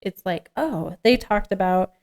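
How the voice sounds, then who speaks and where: Clear, smooth-voiced woman chatting casually. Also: medium pitch, expressive, a little slow, a little mellow. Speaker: podcast co-host. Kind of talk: casual chat at a podcast script read-through.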